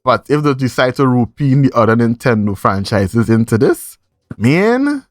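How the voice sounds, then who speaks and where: Man, Trinidadian accent, podcast host, casual review chat, slangy, mid pitch, dry close sound. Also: big pitch swings, moderate pace, no room sound.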